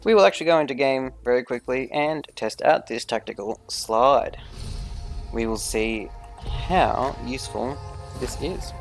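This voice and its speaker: A man's voice: medium pitch, measured pace, vocal fry, soft background music. A man hosting a gaming video, giving gameplay commentary in careful, formal phrasing with few contractions.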